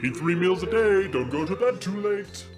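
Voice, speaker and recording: Man playing a playful, wise fatherly king, animated delivery. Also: high-pitched, normal speed. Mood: teasing. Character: wise, teasing dragon king, doting middle-aged father. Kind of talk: fantasy audio drama acting. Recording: music under the voice.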